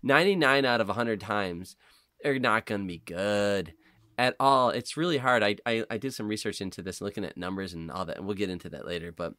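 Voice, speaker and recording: A man's voice: on the low side, fairly quick, pushed and bright, no room sound, clean studio sound. A man co-hosting a podcast, chatting casually in an emphatic, slangy way. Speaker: podcast co-host.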